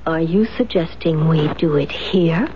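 A woman's voice: low voice, a little slow. An actress playing a cold, commanding, scheming woman in a 1970s radio drama, with a clipped, theatrical delivery. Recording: dull 1970s radio recording, faint noise.

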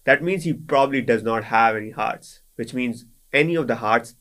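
A man's voice: medium pitch, normal speed, fairly bright tone. A young man in his twenties from Pakistan, a native Sindhi speaker, chatting casually as a guest with lots of fillers and restarts. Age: 20s. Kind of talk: casual conversation.

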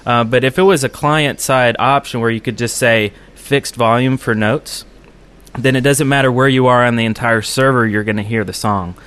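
A man's voice: mid-range voice, steady tone, moderate pace, fairly bright tone, smooth. Man co-hosting a casual gaming podcast. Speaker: podcast host.

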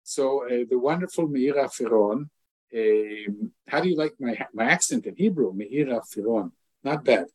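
An older man hosting an interview podcast, talking in a warm, effusive way with many restarts and fillers. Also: medium pitch, lively intonation, normal speed, breathy edge, no echo, poor audio. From lived in Israel fifty years. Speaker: podcast host. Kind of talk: casual interview chat.

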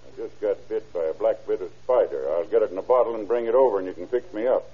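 Casting: folksy, plain-spoken lawman, deep register, old radio crime drama, dull lo-fi sound. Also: fast talker, husky. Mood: businesslike. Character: plain-spoken, methodical country sheriff's deputy. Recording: muffled old radio show recording.

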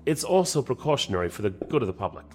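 A man's voice: mid-range voice, very animated, fast, faint music behind, close to an everyday voice. A man playing a formal, guarded security official in a science fiction audio drama.

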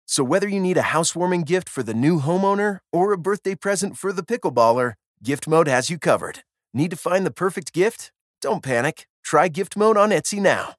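A man's voice: a little high, lively intonation, a little fast, no room sound. A man reading an upbeat, scripted advertisement in a reassuring tone. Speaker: ad announcer. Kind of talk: scripted ad read.

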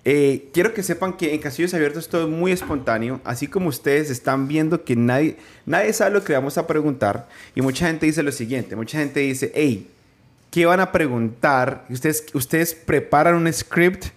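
A man with a Colombian Spanish accent hosts a relaxed faith podcast. He jokes and rambles and often restarts his sentences. His voice sits a little high for a man. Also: moderate pace. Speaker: podcast host. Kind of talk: casual faith podcast interview.

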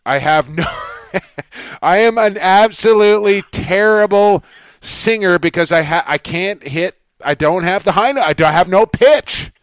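A man co-hosting a sports podcast, with a smooth, resonant voice that sits a little high for a man. He tells stories in long, rambling stretches full of stammered restarts. The sound is dry and close to the microphone. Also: a little slow, no echo. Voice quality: deep voice from an injured vocal cord. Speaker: podcast co-host. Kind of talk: casual sports podcast chat.

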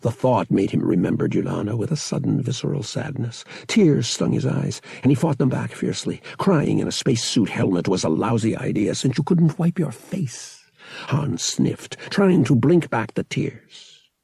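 Husky man narrating an adventure story. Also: medium pitch, animated, normal speed, mellow, dry recording, clean studio sound. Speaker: audiobook narrator. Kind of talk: dramatic scripted narration.

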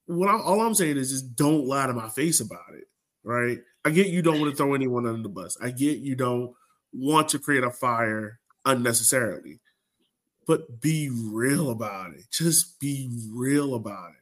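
A man's voice: mid-range voice, lively intonation, normal speed, a bit bright. Blunt man hosting a casual sports podcast. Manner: blunt, opinionated, conversational. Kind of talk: casual soccer fan podcast discussion.

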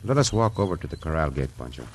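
An actor playing a determined Mexican cowboy hero in a radio western, with a Mexican accent and formal, commanding phrasing. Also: male voice, very deep voice, expressive, fast talker. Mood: calm, resolved. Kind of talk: old-time radio western acting. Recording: noisy vintage radio recording.

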